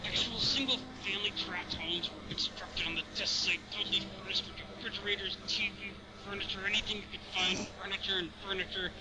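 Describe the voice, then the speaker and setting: A man's voice: sits high, fairly even intonation, a little fast, hardly any pauses, background noise, pitched above the performer's own voice, close to an everyday voice. A man voicing a bitter middle-aged science teacher in a reading of a drama script, exasperated and emphatic as he lectures a class. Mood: lecturing, weary.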